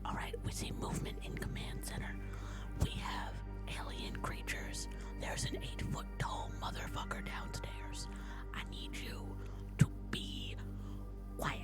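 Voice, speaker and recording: A woman's voice: unhurried, in a whisper, close to an everyday voice. A woman playing a jittery colony worker in a sci-fi horror tabletop game, blunt, folksy and given to swearing. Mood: hushed urgency. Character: McWeir, jittery foul-mouthed colony worker fleeing an alien. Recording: faint music behind.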